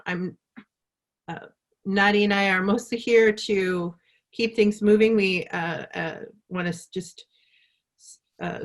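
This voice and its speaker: A woman's voice: on the low side, a bit bright. A woman hosting a live webinar over a video call, talking with many fillers and restarts. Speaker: webinar host.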